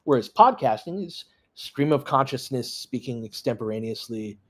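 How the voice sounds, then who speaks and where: Husky-voiced man doing casual basketball commentary. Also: mid-range voice, lively intonation, moderate pace. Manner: conversational, off-the-cuff, talking in short clipped bursts. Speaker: sports livestream host doing fan play-by-play. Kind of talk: casual running basketball commentary, chatting with listeners.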